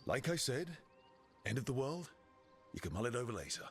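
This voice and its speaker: A man playing a steady, blunt team leader in a fantasy video game, speaking in a dry, direct way. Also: medium pitch, a little fast, faint music behind. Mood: matter-of-fact.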